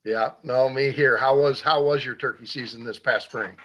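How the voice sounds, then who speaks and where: A man's voice: mid-range voice, steady tone, fairly bright tone, highs cut off. A man hosting a hunting talk show, talking casually with lots of fillers and restarts. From Iowa. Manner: halting, many fillers and restarts. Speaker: radio show host.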